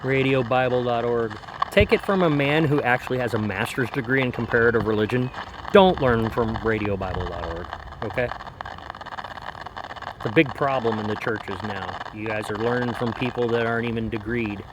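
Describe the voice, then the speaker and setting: A man's voice: medium pitch, moderate pace. A man giving a casual, offhand product review outdoors, chatting with folksy exclamations as he demonstrates. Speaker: radio reviewer, vlogger. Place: outdoors in light rain. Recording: clearly noisy outdoor recording.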